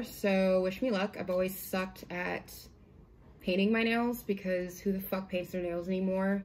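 A woman's voice: mid-range voice, a little mellow, poor audio. A young adult woman with an American accent chatting informally to camera in a slangy, conversational way, as a product reviewer in a home room. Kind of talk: casual chat to camera. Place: small home room, indoors.

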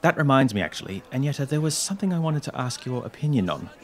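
A man's voice: mid-range voice, big pitch swings, quick pace, natural voice. A man playing an amiable, easygoing junior politician in an audio drama. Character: amiable human junior politician, a loyal friend.

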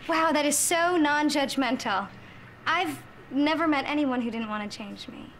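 Eccentric homeless woman, high bright voice. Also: normal speed, a little hiss or hum. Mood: touched. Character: eccentric, chirpy homeless woman, paranoid and candid. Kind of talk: sitcom acting.

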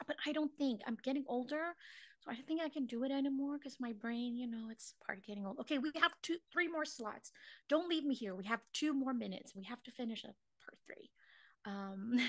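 A woman's voice: high-pitched, fairly quick, no room sound. A middle-aged woman from the Philippines teaching a live online drawing class, chatting with viewers, laughing at her own drawings and singing bits of songs as she works. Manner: chatty and self-mocking, laughs often, sings snatches of songs while drawing. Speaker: online craft class instructor.

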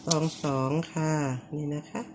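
A woman, called auntie by herself, giving a casual home demonstration, speaking politely and repeating short pointing phrases with soft polite endings. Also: a little slow, slight background noise. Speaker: vlogger showing how to clean banknotes.

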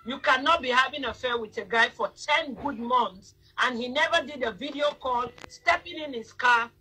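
A woman in her thirties hosts a live stream on her phone from a room at home. Her voice is bright and a bit husky, and she lectures her viewers emphatically, pressing each point. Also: sits high, low-quality recording. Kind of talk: casual live-stream chat. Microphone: phone front camera.

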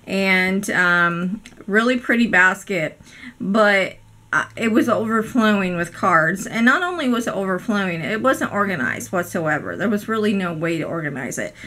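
Hoarse woman crafter, chatty vlog host, bright tone, lots of ums and apologies. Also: medium pitch. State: hoarse from a cold. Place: indoor craft room. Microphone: overhead camera, speaker off screen.

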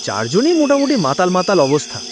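A man tells a first-person ghost tale for an animated video, and his voice moves up and down a lot as he narrates. Loud music fills the background, with crickets chirping beneath it. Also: high voice, a little fast. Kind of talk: spoken horror story narration for a cartoon.

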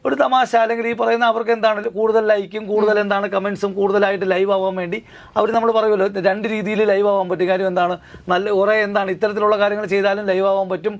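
A man giving legal commentary as a studio guest, talking fast and answering his own rhetorical questions. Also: high voice, vocal fry. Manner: rapid, repetitive, keeps asking himself rhetorical questions. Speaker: lawyer guest.

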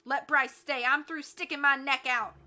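A man's voice: high voice, normal speed, pushed and bright. A woman voicing a grudging, boastful male cowboy henchman who retorts sullenly in an audiobook. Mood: resentful.

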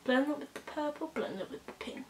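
A young woman with a hoarse voice from a cold, chatting casually through a makeup tutorial with halting, filler-heavy talk. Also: high voice, lively intonation, reverberant, slight background noise. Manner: rambling, halting, with many fillers. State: hoarse, recovering from a cold, coughing. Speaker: beauty vlogger. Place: small bedroom indoors.

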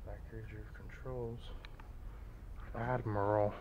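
A low-pitched man rambles casually as he films a walk-through vlog inside a large store. His delivery is low-key and flat, as if thinking aloud, with clear background ambience around him. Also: slow pace, highs cut off, noisy background. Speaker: vlogger. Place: large indoor antique mall, walking around.

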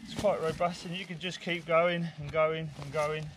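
Male bushcraft instructor, 40s, fairly high voice, casual hands-on explaining, outdoor woodland recording with ambient noise. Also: little pitch movement, moderate pace. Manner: informal, talking while working with hands.

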